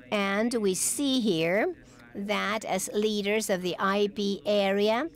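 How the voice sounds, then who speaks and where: Woman, conference interpreter, stop-start phrasing, frequent restarts, technical talk. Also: medium pitch.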